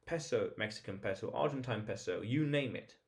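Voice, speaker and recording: A man's voice: fairly low pitch, animated, fairly quick, vocal fry. A man hosting a vlog, talking informally to viewers in an explainer monologue, emphatic, repeating phrases and posing rhetorical questions. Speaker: vlog host.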